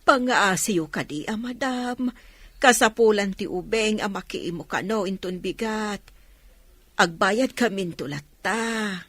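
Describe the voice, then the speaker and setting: A woman's voice: medium pitch, unhurried, a bit bright, dry recording. An actress playing a worried poor mother, plaintive and beseeching, in an Ilocano radio drama. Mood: pleading. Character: pleading, worried poor mother of a sick child.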